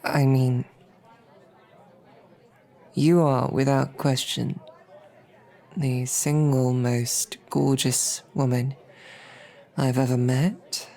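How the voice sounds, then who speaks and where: A woman playing a bashful, smitten knight and royal guard in an intimate audio roleplay, speaking haltingly with flustered, self-deprecating rambling. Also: rumbling low, level tone, warm tone, heavily acted. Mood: smitten, amused.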